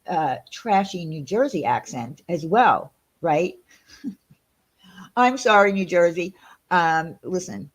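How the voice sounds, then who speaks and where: A woman with a Long Island New York accent talks on her livestream in a chatty, rambling way, full of fillers and restarts. Her voice sits a little low for a woman. She sounds indignant and opinionated as she answers her chat. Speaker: livestream host reading chat.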